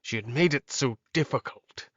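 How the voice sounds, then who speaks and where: A man's voice: mid-range voice, moderate pace, a bit bright, no echo, highs cut off, lightly acted. A man playing a defeated, lovelorn villain, brooding and mournful, muttering his lines in a dramatized fantasy audiobook. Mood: bitter, regretful.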